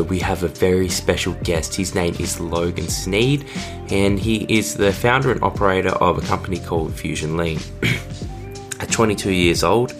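Hoarse, low-voiced male podcast host. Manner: upbeat, addresses listeners directly. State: hoarse from a cold. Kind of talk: scripted show intro. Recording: music bed under voice.

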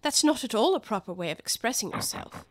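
A woman's voice: a little high, big pitch swings, brisk, no room sound. A woman voicing an earnest, proper young girl in a storybook, with questioning, lilting speech. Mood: prim, concerned. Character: polite, earnest, curious little girl.

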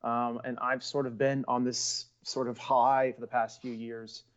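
A man's voice: mid-range voice, little pitch movement, a little slow, muffled recording. A man in his forties from Central California, Portuguese his first language, chatting as an actor guest on a call, rambling with many ums and restarts. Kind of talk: casual interview over a call.

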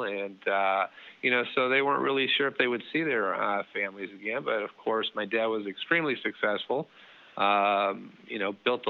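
Middle-aged male guest, lowish voice, relaxed rambling storyteller, phone-line sound. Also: creaky. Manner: relaxed, many fillers and restarts. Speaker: interview guest. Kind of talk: casual storytelling interview.

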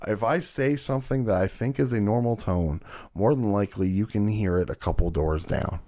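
A man co-hosting a podcast in casual chat, with a loud voice that carries, profane and fond of telling stories. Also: on the low side, normal speed. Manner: profane, storytelling, laughing often. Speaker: podcast co-host.

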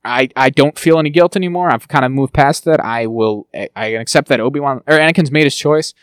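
Male podcast co-host, quick and animated, dry close sound. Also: medium pitch, smooth, dry recording. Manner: fast, animated, breaks into quick mock impressions. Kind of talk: casual chat about TV shows.

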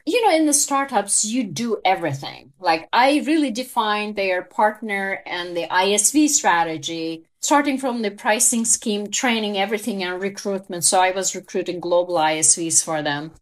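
A woman's voice: medium pitch, normal speed, hardly any pauses. A woman in her fifties from Turkey with a Turkish accent, a guest in an interview telling her career story reflectively, with frequent small laughs.

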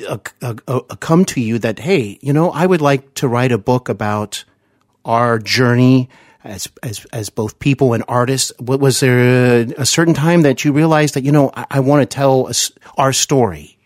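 Guy podcast host, casual n a bit halting, mid pitch, dry sound. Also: no room sound. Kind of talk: casual conversational interview.

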